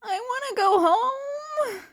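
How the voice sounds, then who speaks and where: A chirpy, whiny teenage boy, acted out by a woman in an audio story. The voice sits very high and swings about with exaggerated, lively pitch. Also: slow pace, exaggerated voice. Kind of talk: fan fiction character acting.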